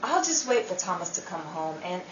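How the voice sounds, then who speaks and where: A woman's voice: lowish voice, big pitch swings, fairly quick. A woman playing an anxious, sentimental housewife in a 1930s-style radio drama, earnest and pleading, easily exasperated. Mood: worried, reluctant. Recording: dull, roomy, distant, with faint noise.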